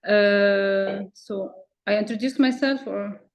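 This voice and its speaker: A woman's voice: medium pitch, slow. A woman from Lebanon speaking English as a second language, introducing herself informally and a little hesitantly on a video call. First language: not English. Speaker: master's student, former TV news reporter.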